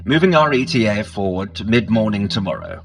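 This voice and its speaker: Man playing a formal older butler, expressive intonation. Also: lowish voice, close to an everyday voice. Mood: deferential. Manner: formal, polite, measured. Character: deferential butler in his mid-sixties. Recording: faint music underneath.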